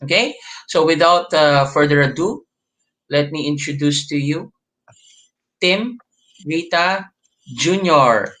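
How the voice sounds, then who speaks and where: A man hosting an online livestream interview, talking in a halting way with many fillers and restarts. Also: a little high, animated, a bit bright, low-quality recording. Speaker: host.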